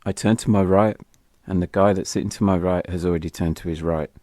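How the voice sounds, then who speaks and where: A man's voice: deep voice, fairly even intonation, brisk, dark tone, no room sound, clean studio sound. A British man telling a scripted first-person story as an audio drama narrator, wry and confessional, with music behind him.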